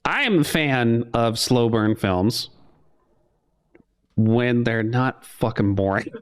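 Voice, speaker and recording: A man's voice: mid-range voice, slow pace, clear, resonant tone. A young man co-hosting a podcast, chatting casually and sarcastically about a movie. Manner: animated, sarcastic, mimics characters in goofy voices. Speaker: podcast co-host. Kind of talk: casual chat, movie review.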